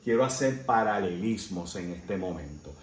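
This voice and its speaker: A man's voice: sits low, lively intonation, moderate pace. A man teaching a Sunday Bible class in an earnest way, often checking in with his listeners. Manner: earnest, with frequent "¿verdad?" and "eh" fillers. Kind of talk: Sunday school Bible lesson. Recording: roomy echo, faint background noise.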